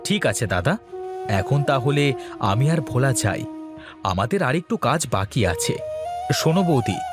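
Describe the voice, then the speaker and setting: A man's voice: mid-range voice, very animated, moderate pace, close to an everyday voice. A man voicing an earnest, reassuring younger brother who works as a village trader, in a cartoon drama. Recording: music behind the voice.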